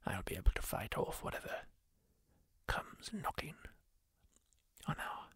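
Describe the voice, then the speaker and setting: A man doing a whispered roleplay, voicing a kindly former war surgeon who soothingly tends a wounded stranger, gentle and reassuring up close to the mic. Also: no room sound, natural voice. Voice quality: soft whisper, slow and soothing. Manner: gentle, reassuring, asks permission. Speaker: ASMR roleplayer.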